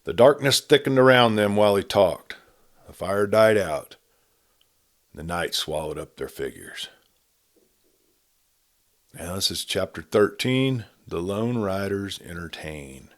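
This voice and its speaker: A man with a fairly low voice narrates an old western tale. His delivery is scripted and dramatic, with measured, expressive storytelling. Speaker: audiobook narrator and host.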